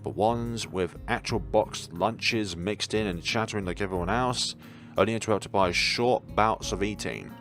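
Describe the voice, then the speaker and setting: A young man reading a visual novel aloud as a let's player, expressive but sometimes stumbling, with casual commentary of his own. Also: on the low side, normal speed, soft background music.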